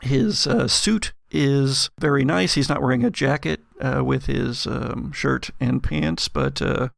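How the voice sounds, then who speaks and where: Creaky man narrating a horror game. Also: mid-range voice, slow pace, no echo, clean studio sound. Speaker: game master. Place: home studio.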